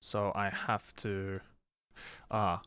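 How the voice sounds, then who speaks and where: A man streaming casually as he learns a board game, hesitant and self-deprecating, quick to laugh. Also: lowish voice, monotone, pushed and bright, clear, resonant tone, dry recording.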